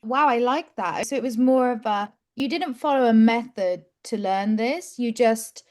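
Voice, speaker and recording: Encouraging woman interviewer, fairly high voice. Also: measured pace. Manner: encouraging, says "wow" often. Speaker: English teacher and interviewer. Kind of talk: friendly interview questions.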